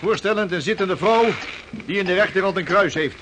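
An actor playing a brisk, bossy and hearty ship's officer in a period radio play. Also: male voice, high-pitched, natural voice. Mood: businesslike. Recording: hissy old radio drama recording.

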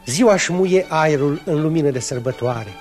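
A man narrating a fairy tale in a lyrical, expressive radio-drama style. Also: fairly high pitch, soft background music.

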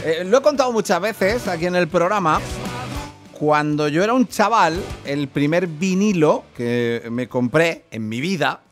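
A man hosting a radio interview in a conversational way, wordy and often repeating words as he goes. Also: mid-range voice. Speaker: radio host interviewing a musician. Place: radio programme.

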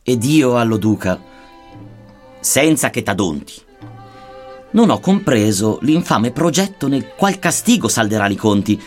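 A man reciting an archaic satirical verse narrative in a solemn, measured, declamatory manner. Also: mid-range voice, sing-song, soft background music. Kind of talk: dramatic poetry recitation in archaic Dantean verse.

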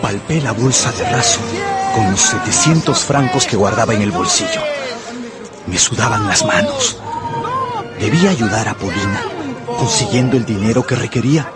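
A man's voice: medium pitch, very animated, moderate pace, close to an everyday voice. An actor playing an anxious, tempted gambler who narrates his own story in a radio drama. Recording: loud music and crowd behind the voice.

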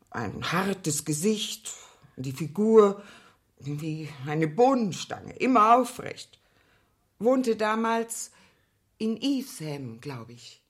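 A woman's voice: mid-range voice, breathy edge. An actress playing a talkative, deferential working-class mother of many in a German radio play, chatty and rambling. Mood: chatty recollection. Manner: chatty, rambling, deferential, quoting herself and others.

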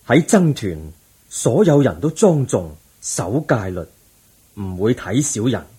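An actor playing a calm, compassionate Buddha in a Cantonese radio drama, patient and solemn, with a Hong Kong accent. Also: male voice, lowish voice, slightly rough, full character voice.

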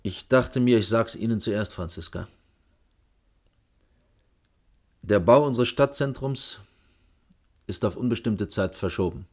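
Casting: male actor for pragmatic middle-aged chief architect, low voice, stern and world-weary, radio-play dialogue. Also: natural voice. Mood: reluctant, sober. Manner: measured, firm, admonishing. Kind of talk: German radio drama dialogue.

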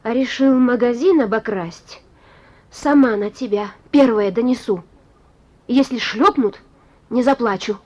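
A woman's voice: a little high, a little slow, darkish tone. An actress playing a devoted, plain-spoken young working woman fretting over her sweetheart, folksy and lamenting, on a muffled radio play recording. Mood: reproachful, devoted. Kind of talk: Russian radio play acting.

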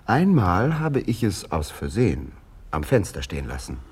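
An actor playing a vain, touchy, antisemitic young painter in a theatrical radio play, speaking in a pompous, defensive way. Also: male voice, low-pitched, wildly swooping pitch, moderate pace, a little mellow, slightly rough, full character voice. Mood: proudly showing off.

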